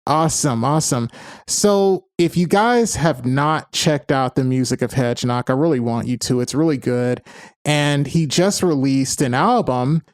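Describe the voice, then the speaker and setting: A man's voice: mid-range voice, unhurried, no echo, studio quality. A man hosting a podcast interview. He opens with upbeat, announcer-style introductions and asks follow-up questions. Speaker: podcast host. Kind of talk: hosted interview.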